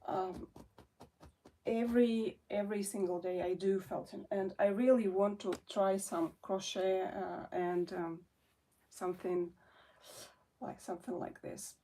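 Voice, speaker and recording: A Russian-born woman in her forties talks slowly to her viewers in English, her second language, pausing often and restarting her sentences. Her voice sits a little away from the microphone, so it sounds somewhat distant. The tone is a relaxed, homemade chat. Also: medium pitch. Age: 40s. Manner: hesitant, many fillers and restarts. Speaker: felting artist and channel host. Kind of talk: casual chat to camera.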